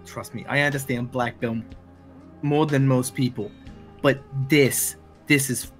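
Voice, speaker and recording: A man playing a tabletop game, describing his character's actions in a halting way, repeating words. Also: mid-range voice, fairly even intonation, faint music behind.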